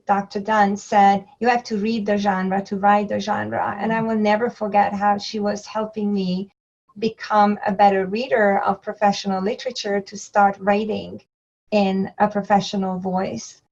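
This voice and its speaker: A woman whose first language is not English, a guest on an education podcast, speaking in a reflective, measured way. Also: mid-range voice, little pitch movement, darkish tone, lo-fi sound. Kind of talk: podcast interview.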